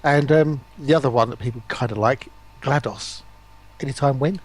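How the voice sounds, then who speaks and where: A man's voice: mid-range voice, normal speed, breathy edge. A man talking casually on a stream, putting questions to the others in the group. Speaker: stream participant asking questions.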